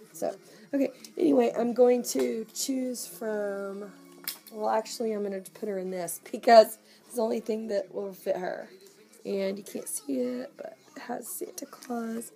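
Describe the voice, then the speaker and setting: A woman with a hoarse, coughing voice, chatting casually to camera in a nursery at home and cooing softly over a baby doll. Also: a little high, steady tone, unhurried. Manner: gushing, coos softly to the doll. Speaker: reborn doll collector vlogger. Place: home nursery room, indoors. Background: Christmas music playing.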